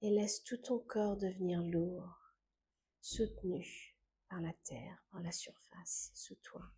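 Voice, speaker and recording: A woman guiding a scripted meditation in a calm, gentle, unhurried way, with soft phrase endings that fade into breath. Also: on the low side, breathy edge. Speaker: meditation guide.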